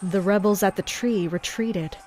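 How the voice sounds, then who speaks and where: A woman's voice: mid-range voice, measured pace, darkish tone, a little hiss or hum, close to an everyday voice. A woman playing a tense, commanding castle fighter in a first-person dramatic narration. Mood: tense, watchful. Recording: music behind the voice. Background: music.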